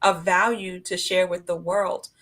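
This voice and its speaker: A woman's voice: on the low side, little pitch movement, unhurried, a bit bright. A woman moderating a casual panel discussion, asking questions and reflecting, with frequent "you know" fillers.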